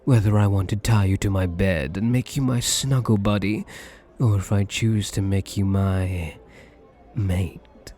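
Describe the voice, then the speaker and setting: A man playing a smug, possessive wealthy gambler in an intimate ASMR roleplay, suave and teasing with a breathy, husky voice. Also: lowish voice, a little slow, warm tone, faint music behind, close to an everyday voice. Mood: seductive, possessive. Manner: suave, teasing, theatrical endearments. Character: possessive, smug, wealthy male gambler doting on captive lover.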